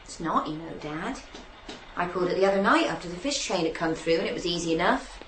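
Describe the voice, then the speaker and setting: A woman's voice: fairly low pitch, lively intonation, quick pace, natural voice. An actress playing a signalman's cheeky, eager young daughter with a West Country accent, answering back in a radio drama. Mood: pert, teasing. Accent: English West Country. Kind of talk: naturalistic radio drama acting. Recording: echoey radio play, loud background noise, clicks.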